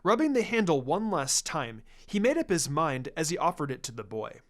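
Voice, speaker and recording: A man's voice: a little high, very animated, normal speed. A man giving a scripted, dramatic narration of a fan fiction story. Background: background music.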